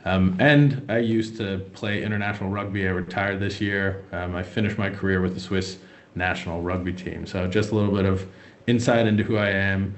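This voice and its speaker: A man's voice: sits low, fairly even intonation, fairly quick, echoey room. A young American man presenting a finance webinar, hesitant with many fillers and restarts. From United States. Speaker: wealth manager presenting a webinar.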